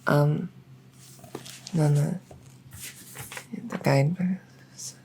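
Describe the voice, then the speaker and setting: Slow, creaky, awkward vampire girl played by a woman. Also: low-pitched, fairly even intonation, warm tone, a little hiss or hum, heavily acted. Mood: nervous, coaxing. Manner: halting, hesitant, stammering. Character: awkward, earnest, flustered female fledgling vampire salesperson. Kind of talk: ASMR audio roleplay.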